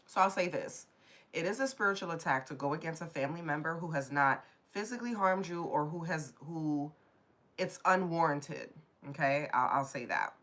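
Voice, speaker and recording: A low-voiced woman with a stuffy, nasal sound as she gets over a cold. She rambles casually and talks straight to her viewers during a live tarot stream. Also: animated, normal speed, fairly bright tone. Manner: chatty, rambling, addresses viewers directly.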